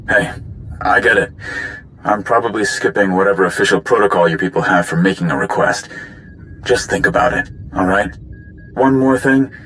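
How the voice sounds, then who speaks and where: A man's voice: on the low side, fairly bright tone, a little hiss or hum, lightly acted, rough recording. A man playing an affable, cocky warrior in a video game, talking in a wry, casual way. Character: cocky, affable male warrior asking a favor. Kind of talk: video game cutscene acting.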